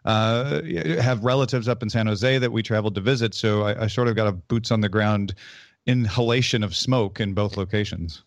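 A man's voice: on the low side, moderate pace, no echo. A man from Illinois, USA, co-hosting a podcast in easygoing casual chat. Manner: easygoing, laughs often. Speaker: podcast co-host.

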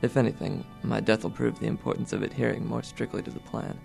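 A man playing a calm, forgiving, nerdy wounded teenage boy in a radio drama, earnest and matter-of-fact, with a gravelly voice. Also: fairly low pitch, fast talker, faint music behind. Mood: resigned, forgiving.

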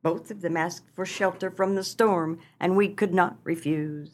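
A woman's voice: on the low side, steady tone, measured pace, lightly acted. A woman playing a sorrowful old farm wife and mother in a comic radio melodrama. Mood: anxious, lamenting.

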